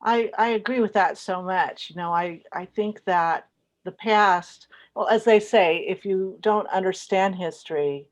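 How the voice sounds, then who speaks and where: A woman's voice: mid-range voice, measured pace. A woman with an American accent hosting an author interview, reflective and winding her way into questions. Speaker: podcast host interviewer.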